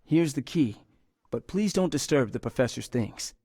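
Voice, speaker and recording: A man's voice: mid-range voice, lively intonation, moderate pace, a little mellow, husky, no room sound, clean studio sound. An actor playing an earnest university scientist in a video game, polite and a little hesitant as he explains things. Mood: worried. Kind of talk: video game dialogue acting.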